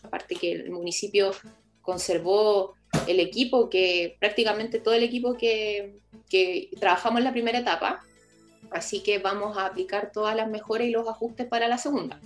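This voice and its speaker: A woman with a Chilean accent giving halting, explanatory answers as an official in an informal radio interview, often rephrasing as she goes. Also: medium pitch, steady tone, soft background music. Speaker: municipal project official being interviewed.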